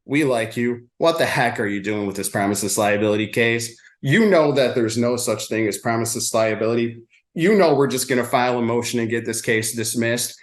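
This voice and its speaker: A man's voice: mid-range voice, normal speed, pitched below the performer's own voice, lightly acted. A man playing a dismissive, falsely friendly insurance defense attorney, talking bluntly and with condescension. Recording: echoey room sound.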